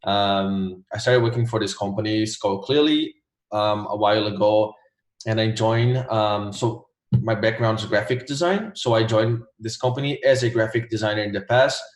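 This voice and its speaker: Low-voiced young Brazilian man, echoey room. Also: normal speed. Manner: relaxed, hesitant, many fillers. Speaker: guest, former test taker. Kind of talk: casual interview answers.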